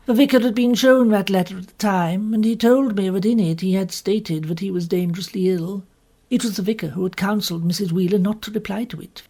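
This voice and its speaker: A woman narrates an audiobook short story. Her delivery is formal and rather prim, with the measured phrasing of scripted storytelling. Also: mid-range voice, darkish tone.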